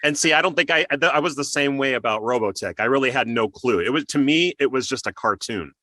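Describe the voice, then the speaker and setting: A man's voice: mid-range voice, expressive, quick pace, pushed and bright, dry recording. A middle-aged man hosting an interview, asking questions in a casual, conversational way. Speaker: interview host.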